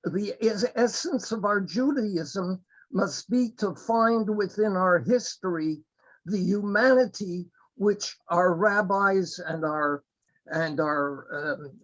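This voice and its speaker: A high-pitched man talks slowly and earnestly over a video call, often halting mid-thought and starting again. The audio is dull and lo-fi, with the muffled sound of a webcast link. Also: a bit bright. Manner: halting, earnest, with many restarts. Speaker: webinar participant. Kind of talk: impassioned remarks on a video call.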